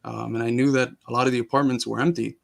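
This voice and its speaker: A man's voice: medium pitch, level tone, fast, creaky, fairly bright tone. A young man, born in Cuba and raised in Miami, talking earnestly as a guest in a casual interview, with frequent restarts. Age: young adult.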